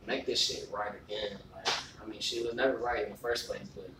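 A man with a lowish voice speaking earnestly in laid-back slang. He is far from the mic in an echoey room, with clear background noise under him. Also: steady tone, brisk. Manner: earnest street slang, ends lines with "bro". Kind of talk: casual chat.

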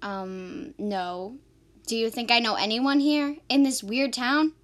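A woman's voice: a little high, steady tone, takes it slowly, a bit bright. A woman playing a sassy, sceptical young woman in an amateur radio drama, sarcastic and indignant. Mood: indignant, puzzled.

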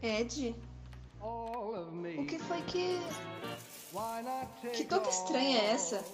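An actress playing a worried, bewildered wife and mother in an audio drama, questioning and hesitant as she tries to stay calm. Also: a little high, drawn-out words, over music, heavily acted. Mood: alarmed, concerned.

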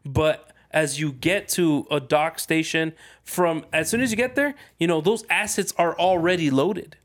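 A man's voice: on the high side, a little slow. A man talking casually as a podcast co-host, often repeating phrases for emphasis. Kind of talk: casual chat about video games.

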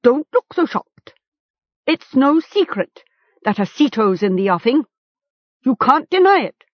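A woman's voice: sits high, big pitch swings, measured pace, dry recording, dull audio, pitched above the performer's own voice, heavily acted. A woman voicing a mocking male chieftain in a storybook, theatrical and accusatory. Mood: accusing triumph. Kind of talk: storybook character acting.

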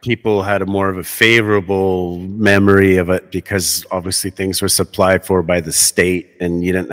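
A man with a deep, low voice hosts a podcast in loose, easygoing conversation. He rambles, piling up "like" and "uh" and restarting his sentences as he goes. His voice rings out with a long reverb tail. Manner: rambling, many fillers and restarts. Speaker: podcast host. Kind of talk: casual conversation.

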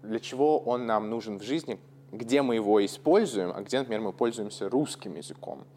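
A man's voice: on the low side, animated, a little fast, darkish tone, slight background noise. Man rambling casually on a podcast. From Russia. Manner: rambling and digressive, thinking aloud. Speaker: podcast co-host.

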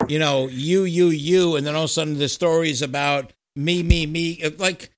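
A man's voice: fairly high pitch, fairly even intonation, normal speed, slight background noise. A man hosting a casual music chat as a fellow musician, talking in an informal, blunt way with some swearing. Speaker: podcast host and musician.

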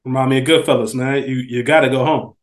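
A mid-pitched American man chats as a podcast co-host. His manner is casual and slangy, with a reflective way of talking. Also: fairly even intonation, fast talker.

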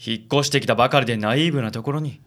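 A man's voice: medium pitch, very fast, close to an everyday voice. A man playing a pompous, suave gallant. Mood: dramatic concern. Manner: pompous, chivalrous, flowery gentlemanly speech. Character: suave, theatrical gallant man who fusses over ladies. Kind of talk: comic radio drama acting.

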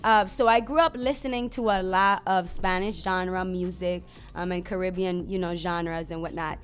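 Animated, profane young woman chatting. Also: on the low side, moderate pace, soft background music. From US Virgin Islands (St. Croix). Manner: animated, profane, emphatic storytelling. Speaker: podcast co-host. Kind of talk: casual chat about music.

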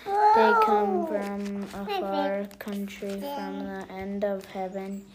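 Very slow, halting young reader. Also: medium pitch. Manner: stumbles over hard words. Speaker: family member taking a turn reading. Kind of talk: halting scripture reading aloud. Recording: clearly noisy home recording.

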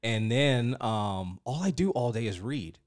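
A man's voice: medium pitch, expressive, measured pace. A man in his thirties hosting a podcast in a playful, informal, exclamatory way, chatting casually. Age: 30s. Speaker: podcast host. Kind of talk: casual chat.

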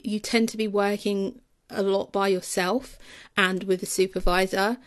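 A woman hosting a podcast, explaining a topic clearly and then interviewing a guest. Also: medium pitch, no room sound. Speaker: podcast host.

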